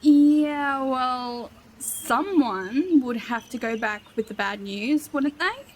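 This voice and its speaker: Seeking an actress for a put-upon female-voiced rogue, high and unhurried, sarcastic grumbler, fantasy audio comedy. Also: lively intonation, slight background noise. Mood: sardonic. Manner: sardonic, exasperated complaining.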